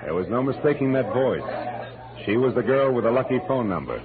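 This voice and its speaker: An actor playing a cool, world-weary American private detective in 1940s radio style, clipped and deadpan. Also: male voice, medium pitch, brisk, phone-quality sound, crowd noise, natural voice. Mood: cool narrating. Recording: old band-limited radio broadcast, music behind.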